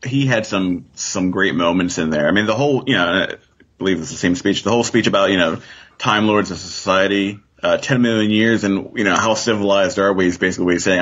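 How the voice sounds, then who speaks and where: Male podcast co-host, low raspy voice, relaxed conversational fan talk, muffled lo-fi recording. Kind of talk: casual pop-culture discussion.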